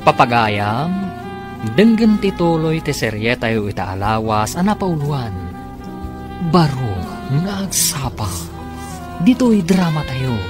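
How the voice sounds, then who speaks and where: Guy announcing an ilocano radio drama, very animated, music playing behind. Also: mid-range voice, takes it slowly, pauses often. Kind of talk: dramatic scripted radio narration. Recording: music bed under narration.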